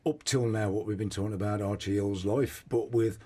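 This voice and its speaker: A man's voice: on the low side, steady tone, brisk, dark tone, breathy edge. A man hosting a conversational radio discussion, speaking in an emphatic, exclamatory way. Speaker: radio host.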